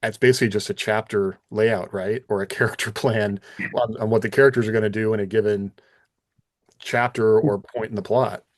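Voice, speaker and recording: A man with a fairly low voice hosts a casual podcast discussion. He is conversational and thinks out loud as he explains and asks questions. The sound is dry, with little room on it. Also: steady tone, a little fast, dry recording. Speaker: podcast host.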